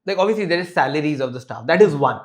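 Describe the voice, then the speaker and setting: A man's voice: on the high side, animated, rapid-fire. A man with an Indian accent hosting a casual interview, asking questions and giving short acknowledgements. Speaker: podcast interviewer, host.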